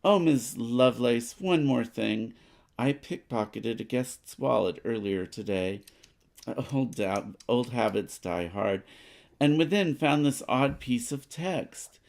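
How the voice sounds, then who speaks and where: A man's voice: mid-range voice, expressive, slow, creaky, a little mellow. A man co-hosting a board game playthrough, chatting casually and reading story text aloud.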